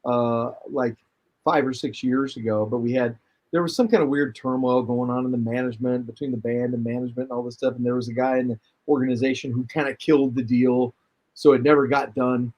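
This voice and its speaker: Husky man telling long stories. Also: mid-range voice, a little fast, highs cut off. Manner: rambling storyteller, animated, full of restarts. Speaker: guest musician. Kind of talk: casual chat.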